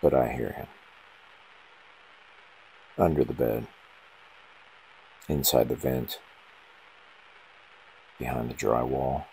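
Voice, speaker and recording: Very deep, gravelly male horror narrator. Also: steady tone, warm tone, a little hiss or hum, poor audio. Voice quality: gravelly, husky. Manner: slow, ominous, short dramatic phrases. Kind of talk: scripted first-person horror narration.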